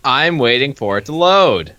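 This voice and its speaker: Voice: medium pitch, expressive, normal speed, pushed and bright, dry recording, highs cut off. A co-host chatting casually and reacting to a webcomic with sarcastic, crude remarks. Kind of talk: casual chat.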